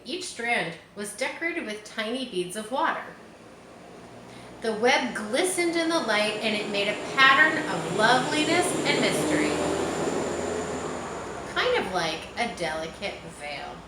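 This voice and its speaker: Woman, expressive storybook reader, very lively pitch, echoey room with faint noise. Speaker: audiobook reader. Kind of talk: expressive children's storybook narration.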